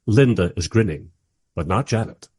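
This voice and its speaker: An actor playing a wry, curious time traveller who narrates a radio play in the first person and speaks in its dialogue. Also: male voice, on the low side, expressive, moderate pace, darkish tone, husky, no echo, clean studio sound. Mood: animated. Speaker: narrator and lead character.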